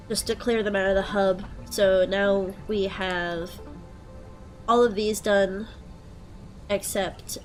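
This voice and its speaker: A young woman with a head cold and a stuffed-up nose, chatting casually through gameplay and thinking out loud with plenty of ums. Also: mid-range voice, poor audio. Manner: relaxed, thinking aloud with frequent ums. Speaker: let's play host.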